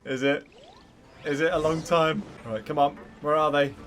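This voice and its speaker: A man's voice: a little high, little pitch movement, moderate pace. A man giving casual, chatty and enthusiastic gameplay commentary as the host of a gaming video. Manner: enthusiastic, chatty reactions to the game. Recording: game music behind the voice. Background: video game music.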